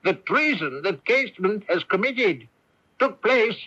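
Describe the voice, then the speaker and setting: Radio play lawyer: pedantic, evasive, bright sharp tone with swooping pitch, on a dull vintage broadcast. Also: male voice, big pitch swings, a little slow, full character voice. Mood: pedantic, pleased. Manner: pedantic, hedging, theatrical. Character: fussy, ambitious, evasive barrister explaining law. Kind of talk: radio drama acting. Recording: dull 1980s AM radio recording.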